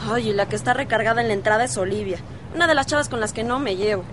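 A woman's voice: fairly high pitch, rapid-fire, noisy background, close to an everyday voice. An actress playing a chatty, gossipy teenage girl with a Mexican accent, confiding colloquially in a radio soap. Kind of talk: radio soap opera acting.

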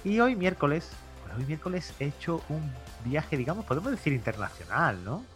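A man's voice: fairly high pitch, big pitch swings, moderate pace, faint music behind. A man with a Spain Spanish accent hosts a casual interview, chatting informally and often repeating or rephrasing himself. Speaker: podcast host.

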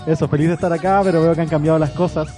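Slightly high-voiced man on a podcast. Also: steady tone, very fast, mellow, faint music behind. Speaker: podcast panelist. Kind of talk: casual chat.

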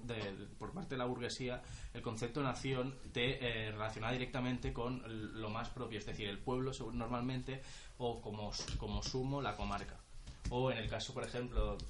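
A man's voice: mid-range voice, a bit bright, slight background noise. A young man from Spain in a casual group discussion, hesitant and searching for words.

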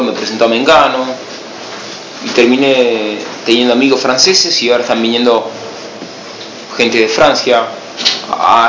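A man's voice: medium pitch, pushed and bright, echoey room, muffled recording, a little hiss or hum. A missionary priest from Buenos Aires with an Argentine accent, giving an informal talk to a small group and answering his own rhetorical questions with a firm no. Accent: Argentine Spanish, Buenos Aires.

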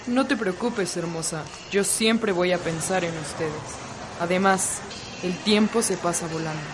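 A woman acting a devoted, gallant young man in a radio soap opera, speaking gently. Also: on the low side, measured pace, noisy background, natural voice. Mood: affectionate. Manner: gentle, courtly, affectionate. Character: devoted, gallant young son, a medical student.

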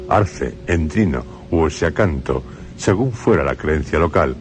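A man with a Spain Spanish accent, host of a radio program, narrating a script in measured phrases with dramatic pauses. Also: a bass voice, a little hiss or hum.

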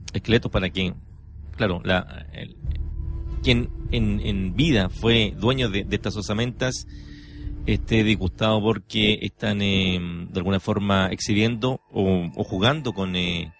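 A man's voice: lowish voice, a little slow, creaky. A man with a Chilean accent hosting casual radio talk, politely and hesitantly searching for words. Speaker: radio host. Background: soft music.

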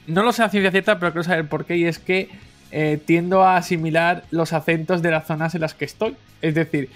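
A man on a gaming podcast explains himself calmly and reflectively. His voice sits somewhat high for a man. Soft music hums behind. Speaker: podcast co-host. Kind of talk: casual chat.

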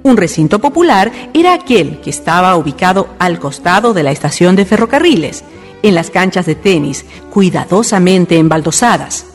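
A woman narrates a historical radio drama from a script. Her mid-pitched voice is lively in intonation and carries a long reverb over soft music. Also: normal speed.

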